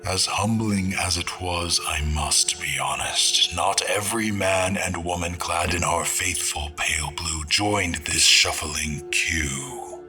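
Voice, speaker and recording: A man playing a solemn steward of a fallen world, giving grave, deliberate, often hushed dramatic narration in an audio drama. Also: deep voice, steady tone, exaggerated voice. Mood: grave, reflective. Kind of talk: dramatic first-person scripted narration. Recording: music under the voice. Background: dramatic music.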